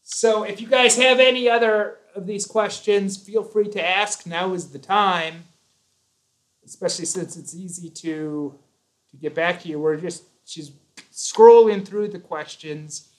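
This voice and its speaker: A man's voice: high voice, a little slow. Chatty man hosting a live stream. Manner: chatty, rambling, self-correcting, jokey. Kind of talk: casual live chat reading viewer comments.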